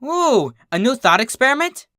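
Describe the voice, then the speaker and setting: A story reader voicing an excitable female pony princess who is a scientist and quick to scold. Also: sits high, echoey room, pitched above the performer's own voice, heavily acted. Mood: excited.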